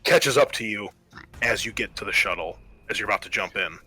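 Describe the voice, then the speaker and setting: A man's voice: on the low side, fairly quick, sharp tone, slightly rough, faint music behind. A man running a tabletop roleplaying game as game master, narrating and making rulings in a conversational, improvised way.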